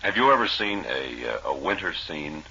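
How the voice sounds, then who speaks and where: A man co-hosting radio banter in a deadpan, earnest, hesitant way. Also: very deep voice, normal speed. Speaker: radio comedy co-host. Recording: dull old radio recording, faint noise.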